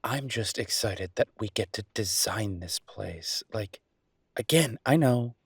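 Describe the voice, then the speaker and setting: A man playing an easygoing, teasing chef boyfriend in an intimate audio roleplay, chatting with affectionate, playful exasperation in a husky voice. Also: lowish voice, measured pace, dry recording, studio quality, heavily acted. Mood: enthusiastic, opinionated. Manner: conversational, playful, exasperated banter.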